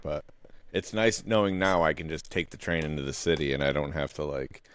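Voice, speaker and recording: A man's voice: a bass voice, brisk, clean tone. An American man chatting casually as a podcast host, explaining things in an informal way. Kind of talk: casual chat.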